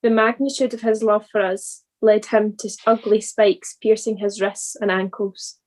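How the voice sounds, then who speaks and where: A woman reading a scripted church devotional in an earnest, deliberate manner. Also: medium pitch, steady tone, darkish tone, rough recording.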